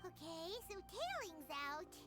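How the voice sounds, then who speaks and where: Chirpy little girl sprite, very high squeaky voice, childlike, video game, loud music behind. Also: sing-song, slow, heavily acted. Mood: curious. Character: tiny, chirpy, childlike female companion creature.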